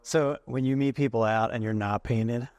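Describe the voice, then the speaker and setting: A man hosting a podcast, asking brief, casual questions and quick follow-ups. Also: mid-range voice, steady tone, a little mellow, dry recording. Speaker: podcast host, realtor.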